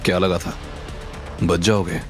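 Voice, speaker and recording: Man acting a cool, menacing action hero, low-pitched. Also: little pitch movement, soft background music. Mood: smug. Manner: taunting, threatening, unhurried. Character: cool, menacing young male action hero, mockingly confident.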